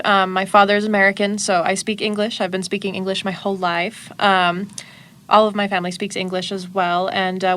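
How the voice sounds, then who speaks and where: A woman in her twenties speaks as a podcast guest, her voice smooth and clear at a middling pitch. She tells stories in an earnest, matter-of-fact way, like easy conversation. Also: fairly bright tone. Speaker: interview guest. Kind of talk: casual interview conversation.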